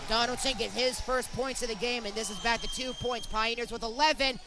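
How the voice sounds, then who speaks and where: A young man doing excitable basketball play-by-play, narrating each pass in clipped phrases. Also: very high-pitched, a little fast. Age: young adult. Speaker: sports commentator.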